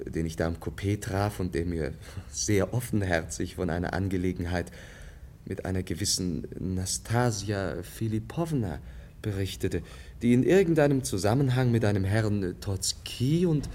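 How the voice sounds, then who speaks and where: Low-voiced, earnest young prince played by a man. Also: moderate pace, warm tone, slight background noise, natural voice. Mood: open, hesitant. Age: young adult. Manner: formal, courteous, hesitant in places. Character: earnest, gentle, naive young Russian prince, courteous and candid. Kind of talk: 1950s German radio drama acting.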